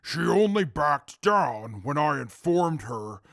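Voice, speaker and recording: An actor playing a calm, articulate male super mutant who testifies in court in a formal, measured way with a gravelly voice. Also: fairly high pitch, no echo, clean studio sound, full character voice. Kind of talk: audio drama acting.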